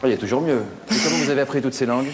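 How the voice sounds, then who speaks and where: A man's voice: medium pitch, racing, long reverb tail, slight background noise, rough recording. A man hosting a talk show, jovial and teasing with his guests. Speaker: host.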